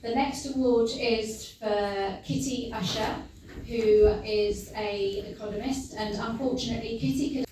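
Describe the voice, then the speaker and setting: Slow-speaking woman presenter, echoey. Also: a little high, fairly even intonation, very noisy. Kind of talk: announcing awards. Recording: echoey hall from far off.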